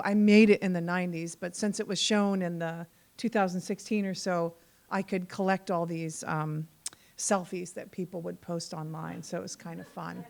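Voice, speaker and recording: Woman artist showing her work at a meetup, lowish voice, lots of um and uh. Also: gravelly, darkish tone. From Bahamas and Virgin Islands. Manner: informal, with frequent repeats and fillers. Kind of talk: casual presentation talk. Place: community meeting room.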